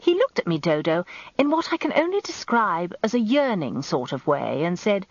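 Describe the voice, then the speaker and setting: An actress playing a genteel, self-important middle-aged English wife, narrating a radio drama in an arch, confiding, expressive way. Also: female voice, on the low side, normal speed, no room sound, muffled recording. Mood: gossipy.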